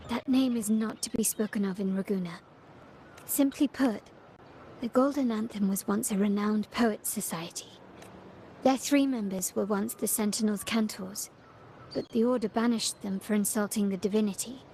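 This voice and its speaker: An actress voicing a composed, knowing young woman in a game cutscene, explaining things in a calm, measured way. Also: mid-range voice, slight background noise, close to an everyday voice. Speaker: game character. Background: music.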